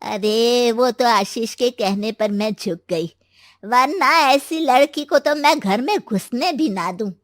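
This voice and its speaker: Slow, bossy older mother-in-law, played by a woman. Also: on the high side, no echo, clean studio sound. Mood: indignant. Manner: demanding, grumbling. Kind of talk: cartoon moral story voice acting.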